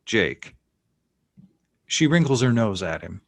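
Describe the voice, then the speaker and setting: A man's voice: lowish voice, sing-song, slow pace, creaky, dry recording, clean studio sound. A man reading scene headings and action lines as narrator of a screenplay table read. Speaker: narrator reading stage directions.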